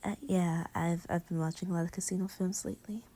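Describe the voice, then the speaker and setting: A woman's voice: fairly low pitch, steady tone, fast talker, mellow, natural voice. A woman playing a playful, clingy young girlfriend in an intimate pillow-talk roleplay audio, teasing and affectionate as she rambles between murmurs and exclamations. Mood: teasing, hushed.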